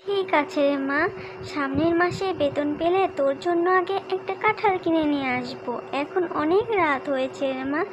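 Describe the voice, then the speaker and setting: A woman's voice: high-pitched, moderate pace, lo-fi sound, background noise, natural voice. A woman voicing a caring, anxious mother in a children's cartoon story, with a fretful, coaxing, motherly manner. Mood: consoling.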